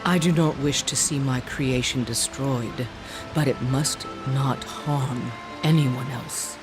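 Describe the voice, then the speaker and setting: An actor playing an ancient, sorrowful shaman of a stone people in a video game, solemn and measured. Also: medium pitch, steady tone, close to an everyday voice. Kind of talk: video game cutscene acting. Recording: clear music behind the voice. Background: game score.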